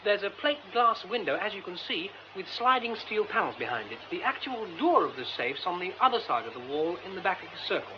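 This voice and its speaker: High-voiced, earnest English theatre man. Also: big pitch swings, brisk, hardly any pauses. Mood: eager. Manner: polite, well-spoken, eager. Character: earnest, courteous, excitable theatre director. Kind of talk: radio drama acting. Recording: muffled radio drama, clear background noise.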